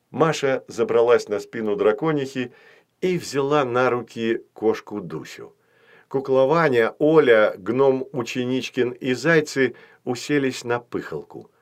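Male storyteller, mid-pitched voice, scripted children's fairy tale narration.

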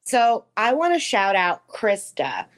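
A woman co-hosting a podcast in casual, opinionated chat, blunt and sarcastic and quick to swear. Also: medium pitch, big pitch swings. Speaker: podcast co-host.

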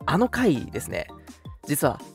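A man's voice: fairly high pitch, wildly swooping pitch, a little slow, vocal fry, faint music behind. A man co-hosting a live radio show in a casual, chatty, jokingly self-deprecating way.

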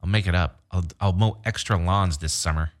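A man with a low, deep voice chats as a podcast guest. He jokes in a deadpan way and riffs on silly ideas in casual conversation. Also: fairly quick. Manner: deadpan, joking. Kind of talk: casual chat.

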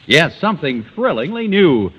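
A man's voice: fairly high pitch, big pitch swings, normal speed, fairly bright tone, breathy edge. An American man announcing a vintage radio commercial with emphatic, enthusiastic salesmanship. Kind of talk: 1940s-50s radio advertising pitch. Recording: muffled vintage radio recording, faint noise.

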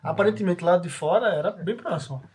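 A man's voice: high voice, quick pace, a little mellow. A Brazilian man narrating a tabletop role-playing game as game master, recorded at an in-person session. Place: indoors around a table with other players. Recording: clearly noisy in-person group session.

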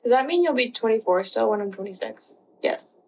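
A woman's voice: a little high, expressive, a little fast, a little hiss or hum, rough recording. A woman in her twenties co-hosting a podcast, chatting casually and giggling, often searching for words. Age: 20s. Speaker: podcast co-host. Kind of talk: casual chat.

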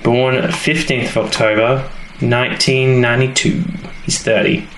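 A man's voice: mid-range voice, steady tone, a little slow, fairly bright tone. A man co-hosting a podcast, chatting casually about a TV series. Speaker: podcast host. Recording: echoey room, faint background noise.

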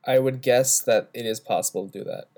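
A man's voice: medium pitch, brisk, a little mellow. A young man with a stuffy nose and a sore throat, co-hosting a casual podcast by phone with playful, teasing banter. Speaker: podcast co-host. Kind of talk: casual chat.

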